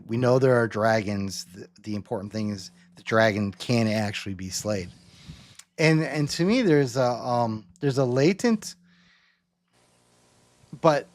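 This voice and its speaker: A man hosting a casual theological discussion, thinking aloud in halting, rambling phrases and often checking in with rhetorical questions. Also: medium pitch. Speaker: host.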